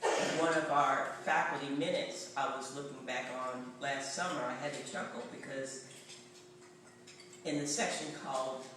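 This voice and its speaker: A man's voice: medium pitch, steady tone, moderate pace. A middle-aged man with a Tennessee accent, a journalism professor giving a wry, anecdotal public talk. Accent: Tennessee Southern. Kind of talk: public lecture. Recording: echoey hall, voice far from mic, background noise.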